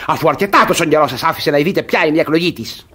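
An actor playing a pompous, gullible, jealous middle-aged suitor in a classic radio comedy, with a theatrical, condescending manner. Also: male voice, a little high, lively intonation, bright, forceful tone, slightly rough, heavily acted. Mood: indignant scolding.

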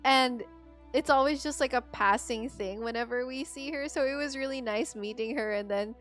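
A young woman with a fairly high voice talks casually to her livestream viewers. She is chatty and rambles, circling back over her phrases as she explains things. Faint music plays behind her. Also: normal speed, fairly bright tone. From Philippines. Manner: chatty, rambling, filler-heavy with frequent "like". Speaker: game streamer.

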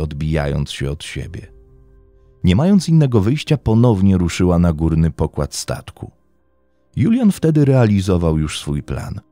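A man's voice: very deep voice, mellow, no room sound. A man narrating a scripted true-crime story in a dramatic, suspenseful way, with emphatic openings to his phrases. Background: music bed under the voice.

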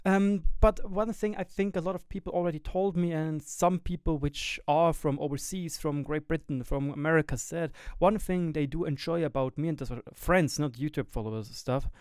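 A young Swiss man with a German-tinged accent speaks close to a boom mic in a small home gaming room, his slightly high, smooth voice rising and falling in a sing-song way. He talks candidly and thoughtfully, often pausing to hunt for the right English word. The sound is dry and close. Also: darkish tone, no echo. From Switzerland. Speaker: gaming YouTuber and streamer. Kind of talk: reflective personal monologue to camera. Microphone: studio mic on boom arm close to mouth, wearing headset.

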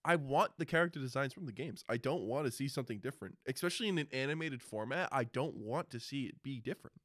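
A man's voice: medium pitch, expressive, quick pace, fairly bright tone, no room sound, clean studio sound. A man co-hosting a casual, opinionated discussion, stretching words for emphasis. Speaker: podcast co-host.

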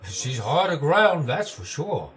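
A man playing a gruff, dismissive seaside onlooker in a radio drama, with a rough, husky voice. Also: a little high, sing-song, takes it slowly, fairly bright tone, full character voice. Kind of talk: old-time radio drama acting.

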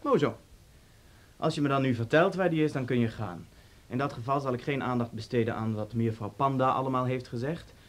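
An actor in a Dutch radio play voicing a suave, talkative police officer with an urbane, polite manner and sly menace. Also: male voice, mid-range voice, a little fast, close to an everyday voice. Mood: smoothly pressing.

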